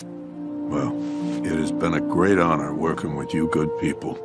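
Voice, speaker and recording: A grave older agent in a cartoon film, extremely low in pitch. He drawls in weary, deadpan formality, with music strongly present behind him. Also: unhurried. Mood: resigned. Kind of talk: animated film dialogue.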